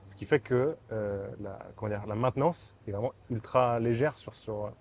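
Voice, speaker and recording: Chatty male interviewer, mellow voice. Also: medium pitch, moderate pace. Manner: chatty, enthusiastic, informal. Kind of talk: casual interview chat.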